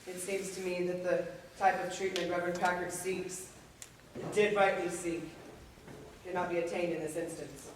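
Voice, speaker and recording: A woman's voice: fairly low pitch, fairly even intonation, normal speed, close to an everyday voice. A woman on stage playing a grave, formal 19th-century asylum doctor. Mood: resigned. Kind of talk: stage play acting. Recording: echoey auditorium, distant mic, faint noise.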